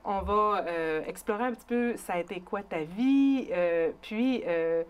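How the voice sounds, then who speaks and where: A woman's voice: mid-range voice, a little slow, smooth. A middle-aged woman with a Quebec French accent hosts a community TV interview and guides her guest with informal, friendly questions. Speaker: talk show host. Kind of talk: TV interview hosting. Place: small community TV studio.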